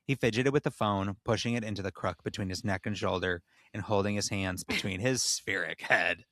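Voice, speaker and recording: Low-voiced man narrating, dry close sound. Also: brisk, a bit bright, dry recording. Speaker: podcast host and reader. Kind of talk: comic narration and casual banter.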